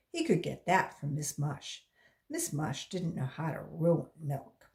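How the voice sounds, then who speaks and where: Female storyteller for children's read-aloud, slow and very expressive, recorded in a roomy space. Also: fairly low pitch. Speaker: volunteer children's book reader.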